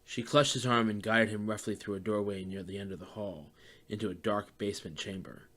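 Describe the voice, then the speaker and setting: A man's voice: lowish voice, steady tone, brisk, fairly bright tone. A man reading scripted fiction as the narrator of a dramatized audiobook.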